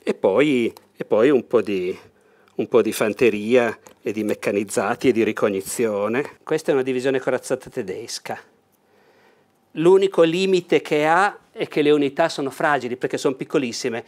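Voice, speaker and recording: Older man presenting a war game, animated. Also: medium pitch, normal speed. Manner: animated, leans over table and gestures while explaining. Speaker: host presenting a board game. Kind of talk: enthusiastic unboxing talk. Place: bright indoor room with a large table.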